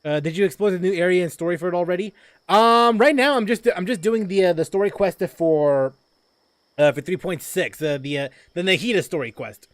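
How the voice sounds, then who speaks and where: A man's voice: sits high, a little fast, no room sound. Rambling young male game streamer. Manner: rambling, repeats himself, laughs often. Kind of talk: casual gameplay commentary. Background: game music.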